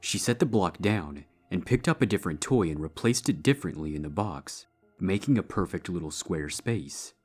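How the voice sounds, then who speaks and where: A man's voice: low voice, animated, moderate pace, breathy edge. A man giving a scripted narration of a story as its narrator. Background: background music.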